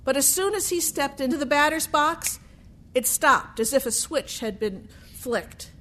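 A woman with a high voice tells a true story aloud in the manner of a live storyteller. She phrases it deliberately and dramatically, leaving pauses between phrases as she addresses her listeners. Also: lively intonation, sharp tone. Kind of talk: live oral storytelling, narrating baseball history to listeners.

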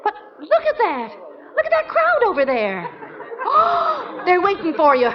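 An actress playing a sensible, affectionate but exasperated wife in a 1940s radio comedy, with an expressive, dramatic delivery. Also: female voice, very high-pitched, unhurried, a crowd in the background, heavily acted. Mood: alarmed. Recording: muffled vintage radio recording.

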